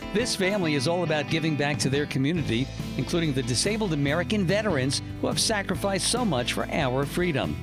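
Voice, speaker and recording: A man reading a scripted radio commercial as an announcer. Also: fairly high pitch.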